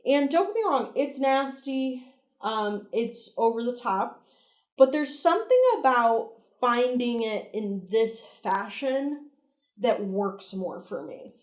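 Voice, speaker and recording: A woman with a slightly high voice gives casual, opinionated commentary as a video host. She is conversational and emphatic, with blunt, sweary phrasing. The recording has a clearly roomy echo around her voice. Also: lively intonation, takes it slowly. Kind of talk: casual commentary, breakdown analysis.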